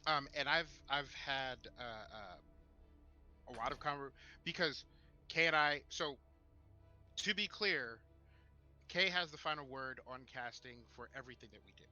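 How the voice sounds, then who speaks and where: A man chats as a co-host in a very bright, forceful voice of middling pitch. His delivery is halting and self-deprecating, starting and restarting. The sound is slightly lo-fi, with faint music underneath. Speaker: podcast co-host. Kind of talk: casual discussion with anecdotes. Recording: dull narrow-band mic, music underneath.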